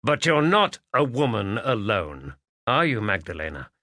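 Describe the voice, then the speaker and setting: A man playing a composed, gallant English gentleman detective, firm, measured and courteous. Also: fairly low pitch, big pitch swings, no room sound, studio quality, full character voice. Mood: probing. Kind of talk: audiobook character voice.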